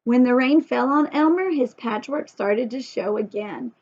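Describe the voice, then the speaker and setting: A woman reading a story aloud to young children in an expressive, sing-song way, adding chatty asides and questions to the listeners. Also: on the high side, unhurried, dull audio.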